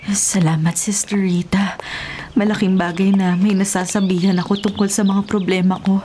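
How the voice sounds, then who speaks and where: A woman's voice: medium pitch, little pitch movement, normal speed, dark tone, a little hiss or hum, close to an everyday voice. A woman playing a troubled, grateful townswoman confiding her burdens in a Filipino radio drama, heavy-hearted and respectful. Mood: burdened.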